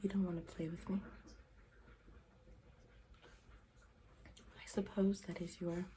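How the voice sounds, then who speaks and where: Morbid, formal schoolgirl for ASMR roleplay, played by a woman: lowish, velvety dark, deadpan, soft whispery delivery. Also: slight background noise, natural voice. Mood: dry, disdainful. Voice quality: soft, breathy, often dropping into whisper. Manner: deadpan, formal, deliberate wording.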